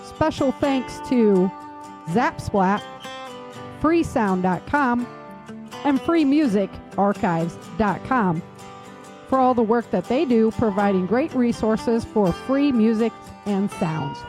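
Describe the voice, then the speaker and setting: A woman's voice: fairly high pitch, lively intonation, takes it slowly, over music. A woman hosting a podcast as its author, speaking in an informal, conversational style. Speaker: podcast host and audio drama author. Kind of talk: casual podcast chat.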